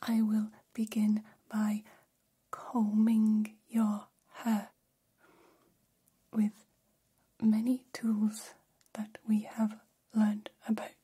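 A woman voicing a gentle, curious alien in an ASMR roleplay, whispering with deliberate, stilted formal phrasing. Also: mid-range voice, completely flat delivery, breathy edge, exaggerated voice.

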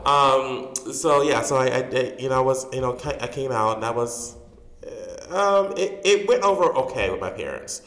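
A man's voice: medium pitch, lively intonation, moderate pace, fairly bright tone, reverberant, long reverb tail, a little hiss or hum. A man in his forties from Chicago, chatting animatedly as a guest in an interview and laughing often. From Chicago, USA. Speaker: guest, drag performer and actor. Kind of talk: casual interview.

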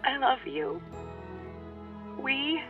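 A woman playing a tender, quirky, grieving pregnant young widow in a radio play, speaking intimately and haltingly. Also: high-pitched, very animated, heavily acted. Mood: wistful love. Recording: slightly dull recording with music behind.

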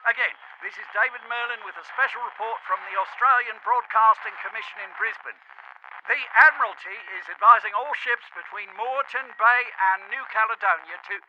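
Male, urgent old-time foreign correspondent; high voice, formal bulletin style, muffled noisy radio feed. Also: brisk, bright, forceful tone. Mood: grave, urgent. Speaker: news reporter. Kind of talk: vintage radio newscast acting.

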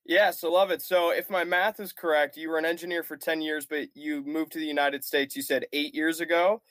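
A man's voice: a little high, level tone, a little fast, a bit bright, no echo. A man hosting a podcast interview over a web call, upbeat and laughing along with his guest. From Michigan. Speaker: podcast host. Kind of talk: casual interview chat.

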